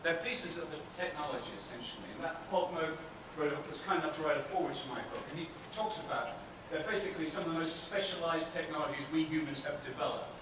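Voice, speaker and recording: A man's voice: fairly high pitch, fast, few pauses. British man lecturing in an echoey hall. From Britain. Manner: chatty, asks the audience questions, self-deprecating asides. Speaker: university professor giving a guest lecture. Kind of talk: public lecture to students. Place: large theatre hall with an audience. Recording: very echoey hall, far-off and noisy.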